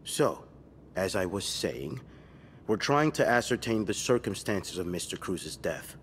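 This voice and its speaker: A man's voice: deep voice, very animated, measured pace, fairly bright tone, exaggerated voice. A male police detective in a narrative game. He is skeptical and methodical, pressing each question pointedly. A slight ambient noise runs behind him. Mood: suspicious, probing. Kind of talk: naturalistic video game acting.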